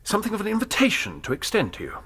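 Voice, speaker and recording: An actor playing an earnest, awkward young English student in a radio drama, polite and hesitant in manner. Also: male voice, high-pitched, huge pitch swings, rapid-fire, fairly bright tone, breathy edge, full character voice. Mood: shy, hesitant. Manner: hesitant, apologetic, polite, well-spoken.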